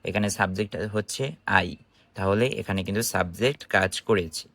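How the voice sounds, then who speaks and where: Low-voiced Bangladeshi man teaching. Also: normal speed, fairly bright tone, dry recording. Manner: explanatory, instructive, asking and answering own questions. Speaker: English grammar teacher. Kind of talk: informal online grammar lesson.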